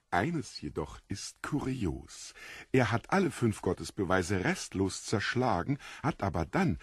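Devilish foreign stranger: courtly, mocking, very husky, swooping delivery for audio drama. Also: male voice, lowish voice, no room sound, heavily acted. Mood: gleeful, mocking. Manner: theatrical, effusively polite, mocking.

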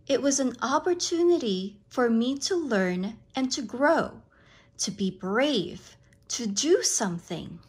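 A woman reading a picture book aloud to children, with expressive, deliberate, dramatic phrasing. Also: fairly high pitch, husky.

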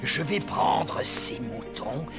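A man's voice: medium pitch, animated, takes it slowly, creaky. An actor voicing a sly big bad wolf in a French cartoon, scheming and sneering as he draws out his threats. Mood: indignant, menacing. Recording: loud music under the voice.

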